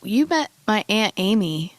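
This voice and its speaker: A woman's voice: medium pitch, sing-song. A woman co-hosting a podcast, breaking in with hesitant questions full of restarts. Her voice sits in a mid range and her manner is casual. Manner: tentative, halting questions. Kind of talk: casual interview chat.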